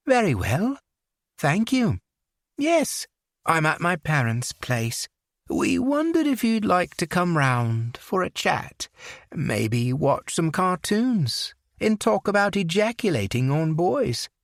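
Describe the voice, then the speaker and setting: A man's voice: fairly high pitch, no echo, studio quality, voice pushed up, exaggerated voice. A man voicing an unhinged, menacing young man who slips into a put-on English accent.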